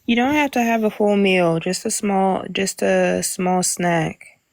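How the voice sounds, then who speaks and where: A woman's voice: medium pitch, slow, creaky. A woman in her twenties chatting casually on a livestream, playful and teasing with a mock-indignant edge. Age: 20s. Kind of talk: casual chat.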